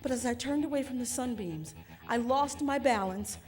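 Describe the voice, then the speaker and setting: High voice, actress as agitated imperious mother, fussy, haughty, theatrical radio drama, faint music behind. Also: moderate pace, a little mellow, lightly acted. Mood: agitated, indignant. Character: agitated, fastidious mother of a machine-served future, imperious. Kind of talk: theatrical radio drama acting before a live audience.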